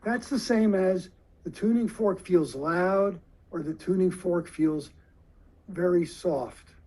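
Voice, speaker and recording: A man giving a lecture to surgeons, explaining slowly and deliberately with questions to the audience. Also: high-pitched, a little mellow.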